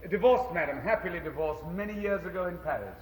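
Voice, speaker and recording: A dry, weary English professor. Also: male voice, high voice, expressive, quick pace, a little hiss or hum. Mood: dry, evasive. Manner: dry, clipped, polite but evasive. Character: weary fastidious European professor, ill and reserved. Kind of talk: stage musical dialogue. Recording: live stage soundboard, distant in echoey theater.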